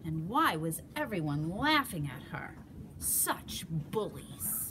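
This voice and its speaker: A woman teacher reading a picture book aloud, telling it slowly and expressively. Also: deep voice, noisy background. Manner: slow, expressive storytelling.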